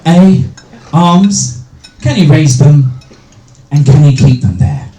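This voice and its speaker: A middle-aged male stand-up comedian with a deep voice hosting a live comedy night, bantering with hecklers and swearing freely. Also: takes it slowly. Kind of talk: stand-up comedy with crowd work. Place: small live venue with a crowd. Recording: echoey room with loud crowd.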